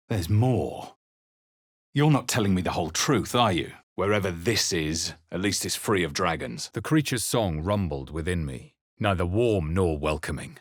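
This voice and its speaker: Deep, husky, brooding fantasy game hero. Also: male voice, no room sound, clean studio sound. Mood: wary, dry. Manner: measured, dramatic, solemn delivery. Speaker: video game voice actor. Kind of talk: scripted fantasy game narration, character lines and spell incantations.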